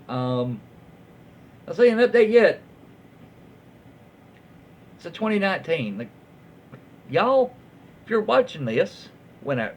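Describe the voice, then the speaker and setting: Animated Southern man, folksy storyteller. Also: a little high. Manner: folksy, rambling, drawling slang. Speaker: host reading online stories aloud. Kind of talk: casual story reading with asides. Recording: dull lo-fi audio with faint noise.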